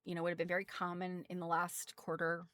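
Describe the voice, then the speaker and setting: A woman's voice: on the low side, monotone, quick pace, a bit bright, no echo. An American woman presenting quilt history casually as a host, chatty and self-deprecating, wandering off into asides.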